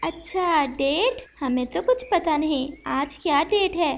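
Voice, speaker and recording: A woman voicing a kind, polite little female sparrow in a children's cartoon, gentle and earnest. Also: sits high, animated, takes it slowly, gravelly, heavily acted. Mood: friendly. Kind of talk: Hindi children's cartoon voice acting.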